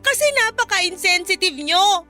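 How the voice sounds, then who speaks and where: A woman's voice: very high voice, moderate pace, pushed and bright, faint music behind. A woman acting as a hurt, emotional young wife pleading with her mother in a Filipino radio drama, with a Filipino accent. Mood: hurt, exasperated. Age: 30s.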